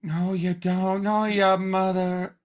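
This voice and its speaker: A man co-hosting a casual pop culture talk, opinionated and animated, sometimes searching for his words. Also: sits high, slow, clean tone. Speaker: podcast co-host.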